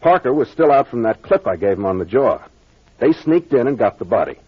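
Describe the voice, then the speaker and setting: An actor playing a confident, authoritative captain investigator explaining a mystery in an old-time radio serial. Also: male voice, mid-range voice, big pitch swings, fairly quick, slightly rough, dry recording. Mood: explaining, assured. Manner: authoritative, expository. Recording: muffled old radio recording.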